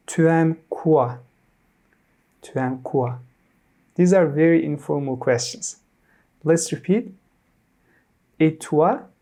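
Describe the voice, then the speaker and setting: Slow, mellow teacher from Turkey, 30s, drills each word twice for beginners. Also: medium pitch. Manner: models each word clearly, then repeats it. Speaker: French teacher. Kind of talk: slow repeat-after-me language lesson.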